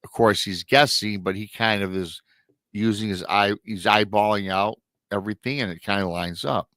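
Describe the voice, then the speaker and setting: A man's voice: lowish voice, fairly even intonation, moderate pace, fairly bright tone, no room sound, clean studio sound. A middle-aged man hosting a live show, retelling a strange encounter story in a chatty way, sounding nasal and a little scratchy from a lingering illness. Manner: chatty asides to viewers. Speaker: paranormal show host.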